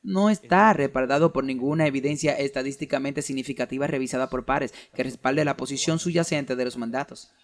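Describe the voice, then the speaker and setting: A man's voice: mid-range voice, a little fast, hardly any pauses. A man giving a sermon-style lecture in an earnest, argumentative way, reading out quoted documents as he makes his case. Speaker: preacher giving a talk.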